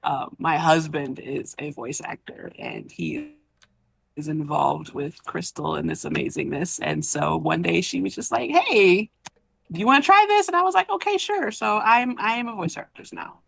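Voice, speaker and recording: Woman, bright voice with noticeable creak, enthusiastic, informal conversational delivery. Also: low voice, wildly swooping pitch, moderate pace. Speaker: voice actress and writer. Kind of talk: casual group chat.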